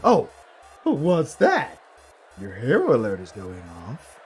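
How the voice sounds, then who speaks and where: Casting: melodramatic American award-show host, showy and huffy, hugely animated, ringing through an echoey hall. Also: male voice, mid-range voice, takes it slowly, voice pushed up. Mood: gushing. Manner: gushing, melodramatic. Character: flamboyant, easily offended American gala host. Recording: echoey hall reverb, music behind.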